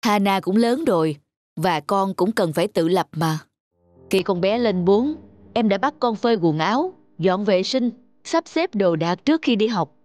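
A woman's voice: medium pitch, lively intonation, normal speed, a little mellow, dry recording, close to an everyday voice. A woman voicing a strict mother in a cartoon, firm and instructive, admonishing in everyday speech. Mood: firm explaining. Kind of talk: cartoon dubbing.

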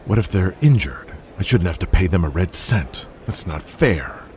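An actor playing a ruthless, controlling wrestling promoter in an audio drama, speaking in a cold, lecturing manner. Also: male voice, low-pitched, animated, normal speed, slight background noise. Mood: scheming.

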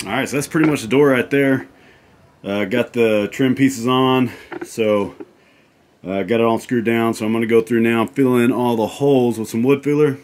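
A man in his thirties casually talking through a home building project, relaxed and rambling with lots of fillers and restarts. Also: mid-range voice, moderate pace, a little hiss or hum. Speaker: DIY vlogger. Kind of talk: casual how-to narration. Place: tiny house interior and outdoor deck.

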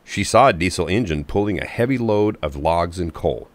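A man narrating a children's storybook from a script in an expressive, clearly paced manner. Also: low voice, normal speed, no room sound.

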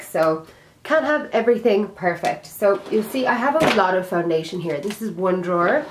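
Chatty young woman, slightly raspy. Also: lowish voice, background noise. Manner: chatty, self-deprecating, talks while handling products. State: slightly raspy from being sick. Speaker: beauty vlogger. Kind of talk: casual chat to camera.

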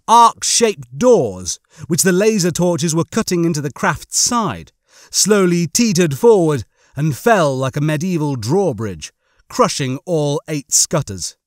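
A man narrates a comic science fiction novel with a theatrical sense of suspense. His voice sits fairly high and swings widely in pitch. The recording is dry and close. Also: very animated, unhurried, no echo. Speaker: audiobook narrator.

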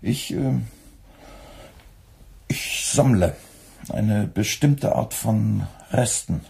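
Very husky, deadpan young editor. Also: male voice, fairly low pitch, drawn-out words, exaggerated voice. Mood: deadpan, hesitant. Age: young adult. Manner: deadpan, laconic. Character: weary, wry young radio editor. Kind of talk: German radio play acting.

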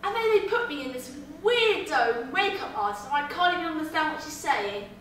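A woman's voice: high-pitched, lively intonation, a little fast, fairly bright tone, pitched above the performer's own voice. A young woman playing a brash, vain, exasperated actress in a sassy, theatrical comic stage monologue. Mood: indignant, confiding. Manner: sassy, theatrical, swearing confidante. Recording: very echoey, distant, noisy room.

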